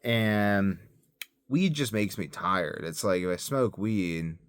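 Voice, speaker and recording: A man's voice: lowish voice, animated, slow pace, smooth, no room sound, studio quality. A man talking casually in a rambling video diary, repeating phrases for emphasis, recorded on a phone set up nearby. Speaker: self-experiment health vlogger and parent. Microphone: phone set up close by.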